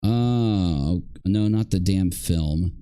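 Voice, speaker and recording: A man's voice: fairly low pitch, lively intonation, moderate pace, a little mellow. Rambling, jokey man livestreaming. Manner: rambling, jokey, grumbling, reads aloud with asides. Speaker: livestream host and commentator. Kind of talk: casual livestream commentary with joking asides.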